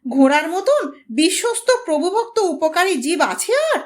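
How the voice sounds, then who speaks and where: A woman's voice: extremely high pitch, very animated, moderate pace. A woman voicing an outspoken new bride in an audio story, reproachful, pleading and lecturing.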